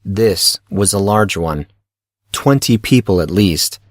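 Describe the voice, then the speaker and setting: A man's voice: deep voice, lively intonation, slow, dry recording, clean studio sound. A man reading scripted narration for a fantasy audio story as its narrator.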